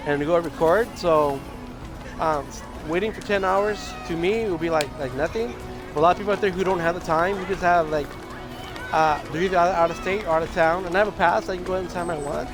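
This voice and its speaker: Hoarse, high-voiced man rambling on stream. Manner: rambling, with many restarts. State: hoarse, losing his voice. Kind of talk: casual livestream chat. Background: video game music.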